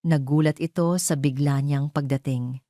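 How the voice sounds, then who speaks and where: A woman's voice: deep voice, steady tone, normal speed, warm tone, no room sound, studio quality. A woman storyteller giving a dramatic scripted narration of a romance audio drama.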